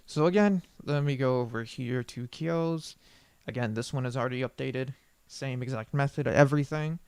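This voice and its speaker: A man presenting an unscripted software tutorial in an informal, rambling way, often correcting himself as he goes. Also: mid-range voice, moderate pace, clear, resonant tone, no echo.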